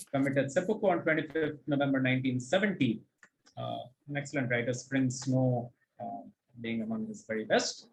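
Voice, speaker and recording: A man's voice: mid-range voice, fairly bright tone, poor audio. A man hosting a quiz, reading out questions and commenting on answers in an articulate, encouraging, explanatory way. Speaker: quizmaster and host.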